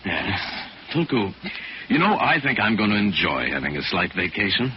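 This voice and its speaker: Urbane radio hero, noisy vintage recording. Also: male voice, lowish voice, very animated, normal speed, dull audio. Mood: relaxed, genial. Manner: polished, courteous, easygoing. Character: wealthy American crime-fighting hero, urbane and genial. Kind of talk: 1940s radio drama acting.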